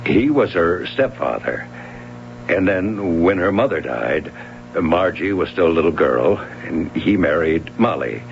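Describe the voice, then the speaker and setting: An actor playing an evasive, offhand swimming coach questioned by a police sergeant in an old radio drama. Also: male voice, low-pitched, big pitch swings, measured pace, gravelly, full character voice. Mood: guarded. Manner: casual, evasive, chuckling. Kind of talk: 1970s radio drama acting. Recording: muffled old radio broadcast with hum.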